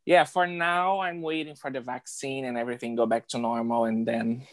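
A drag performer from Brazil with a Brazilian Portuguese accent, native Portuguese speaker, chatting as a guest with bubbly, halting phrasing. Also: female voice, very deep voice, fairly even intonation. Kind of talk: casual interview. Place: New York, remote call.

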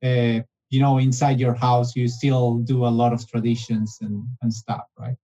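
Man with a mellow, halting voice. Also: medium pitch, level tone, muffled recording. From Argentina. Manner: halting, restarts phrases often. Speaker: guest, business development director. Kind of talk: casual interview conversation.

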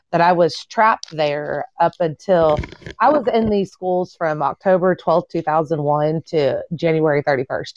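A woman in her thirties pours out her story in urgent run-on sentences. Her voice sits a little low, and it comes through a dull, muffled call line. The sound is dry and close. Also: a little mellow, no echo. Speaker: former student guest. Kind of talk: casual personal account.

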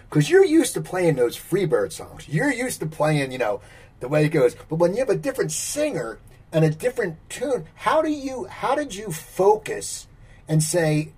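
Husky interviewer voice, bouncy pitch, sounds far from the mic. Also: darkish tone. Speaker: interviewer host. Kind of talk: interview questions. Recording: distant mic.

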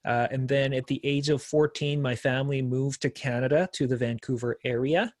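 A man's voice: medium pitch, fairly even intonation, normal speed, no echo, studio quality. A man in his thirties from South Korea, a pastor and guest on the call, chatting and joking easily. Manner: joking, laughs easily, conversational. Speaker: pastor and apologist. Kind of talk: casual interview guest.

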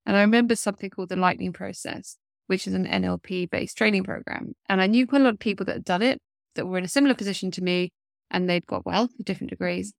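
Woman, 40s, interview guest, stuffy nose, husky fry in the voice, mid pitch, chatty and earnest. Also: no echo, clean studio sound. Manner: earnest, chatty, many fillers and restarts. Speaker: guest, coach. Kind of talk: casual interview chat.

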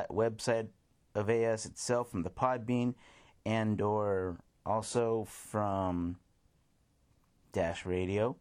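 A man hosting his own music radio show, talking casually and rambling as he thinks aloud, with restarts and shout-outs to his listeners. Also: lowish voice. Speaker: podcast host.

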